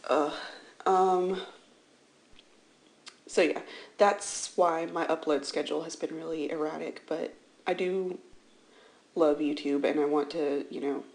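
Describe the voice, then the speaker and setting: Female art vlogger, lowish slightly scratchy voice, casual rambling voice-over, self-deprecating. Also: steady tone, measured pace, pauses often, a little mellow. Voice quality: slightly scratchy early-morning voice. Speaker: art channel host. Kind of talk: casual chatty voice-over commentary on a drawing. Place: indoors, seated at a computer.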